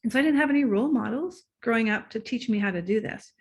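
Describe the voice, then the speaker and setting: A woman guest, a relationship coach, talking in a casual interview. She is excitable, laughs easily and sometimes loses her train of thought. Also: a little high, fast, breathy edge.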